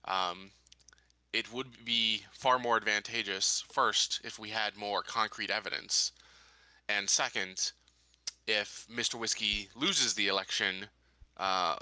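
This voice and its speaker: Man playing a deliberate colony leader, bright-voiced. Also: on the low side, steady tone, no echo, exaggerated voice, rough recording. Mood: measured. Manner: deliberate, formal, halting. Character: measured, calculating male colony leader giving orders.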